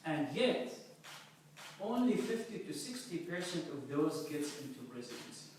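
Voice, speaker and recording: A man's voice: fairly high pitch, sing-song, normal speed, darkish tone. A man hosting a question and answer session with an audience, upbeat, recorded at a distance in a very echoey room. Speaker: host, moderator. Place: echoing conference room with an audience. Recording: very echoey room, distant mic, faint noise.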